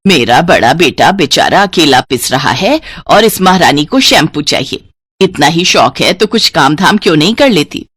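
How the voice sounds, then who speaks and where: A woman's voice: sits low, animated, normal speed, a bit bright, slightly rough, dry recording, voice pushed down, heavily acted. A woman voicing a scheming older mother-in-law who nags and needles in a storybook tale. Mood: scornful. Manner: needling, complaining.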